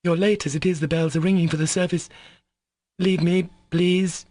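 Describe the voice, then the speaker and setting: A man voicing a proud, guilt-torn young woman in an audiobook, speaking in a raised, halting, fervent voice. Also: high voice, flat delivery, dark tone, dry recording, pitched above the performer's own voice. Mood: curt. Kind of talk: audiobook character voice.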